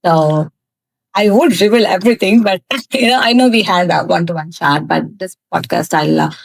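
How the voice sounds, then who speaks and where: A woman in her thirties from South India, speaking with a fairly low voice. She tells her story frankly, stopping often on fillers. The sound is close and dry. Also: creaky, dry recording, low-quality recording. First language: Tamil. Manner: candid, laughs often, pauses with many fillers. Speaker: guest, cosmetics founder. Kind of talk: personal storytelling in a podcast interview.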